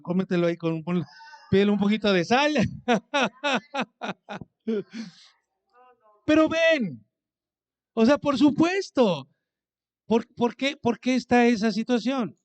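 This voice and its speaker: A man preaching a sermon as pastor, speaking conversationally, asking his congregation questions and acting out what people say to him. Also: sits high.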